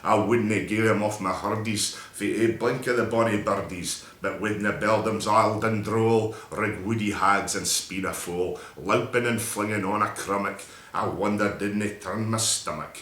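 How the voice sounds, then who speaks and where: A man's voice: fairly low pitch, steady tone, normal speed, hardly any pauses, a bit bright, echoey room. A man with a Scottish accent giving a theatrical, emphatic recitation of a narrative poem in broad Scots dialect. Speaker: poetry reader.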